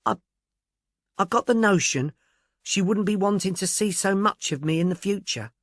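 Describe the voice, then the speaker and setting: A man's voice: high voice, animated, normal speed, a bit bright, no echo, clean studio sound, voice pushed up. A man voicing a timid, deferential working-class young woman in an audiobook, speaking in a hesitant, polite, earnest way. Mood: earnest, uneasy. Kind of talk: audiobook character dialogue.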